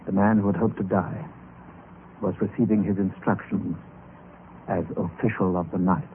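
Narrator for vintage radio mystery: man playing a low-voiced, grave gentleman, dramatic and measured, with narrow, noisy old-broadcast sound. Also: steady tone, close to an everyday voice. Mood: grave, suspenseful. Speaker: narrator and lead character.

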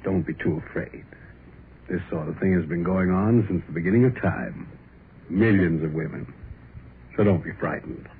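An actor playing a genial middle-aged doctor, gentle and reassuring, in 1930s radio drama style. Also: male voice, low voice, moderate pace, pauses often, a little hiss or hum. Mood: soothing. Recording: muffled vintage radio, music behind.

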